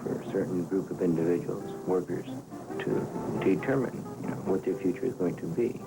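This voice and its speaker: A man with a very low voice gives a reflective interview as an organizer. His delivery is earnest, measured and halting, and music plays clearly behind him. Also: low-quality recording. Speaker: boycott coordinator and organizer. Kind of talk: reflective documentary interview talk.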